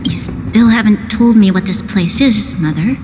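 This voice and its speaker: A woman's voice: medium pitch, unhurried, music in the background, close to an everyday voice. A woman playing an earnest, doubting young daughter in a video game, with a formal delivery. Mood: uneasy, questioning. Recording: long reverb, clear background noise.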